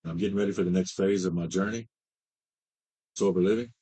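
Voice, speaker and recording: A man in his fifties telling his recovery story as a treatment client, halting, with many fillers and restarts. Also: low-pitched, fairly even intonation, dark tone, husky. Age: 50s. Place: treatment center.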